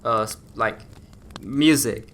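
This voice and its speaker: A man's voice: mid-range voice, takes it slowly, a bit bright, slight background noise. A young man co-hosting a podcast in casual chat, often trailing off and restarting his sentences. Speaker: podcast co-host.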